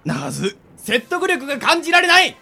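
A high-strung young man, acted out by a man for a comedy radio play, exclaiming and protesting. The voice is very high and bright, with music playing underneath. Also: huge pitch swings, gravelly, full character voice. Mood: wary. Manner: exclaiming, quick to object. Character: panicky, protesting young man, the straight man of his friends. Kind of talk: comedy radio drama acting.

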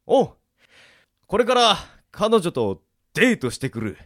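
A man's voice: high-pitched, big pitch swings, a little slow, fairly bright tone, full character voice. A man playing a hapless, dim but good-natured teenage boy in a radio drama, with music behind. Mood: happy, grateful. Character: teenage boy, cheerful hapless dimwit unlucky in love. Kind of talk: amateur radio drama acting.

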